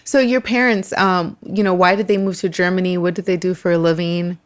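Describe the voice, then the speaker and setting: A woman's voice: fairly low pitch, fairly even intonation, fairly quick. Podcast host, a woman, curious and attentive, asks many follow-ups. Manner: curious, follow-up questions, brief backchannels. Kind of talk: casual interview.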